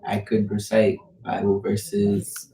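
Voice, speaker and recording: A man's voice: on the low side, monotone, slow pace, dark tone, recorded from a distance. A young man of about eighteen from the Bahamas, talking casually and searchingly about religion with lots of filler words. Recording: echoey, off-mic, faint noise.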